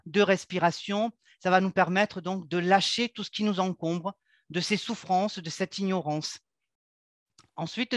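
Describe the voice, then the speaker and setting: A woman who teaches yoga, talking earnestly and haltingly about her practice, with many filler sounds and restarts. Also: on the low side, no room sound. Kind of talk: unscripted personal account.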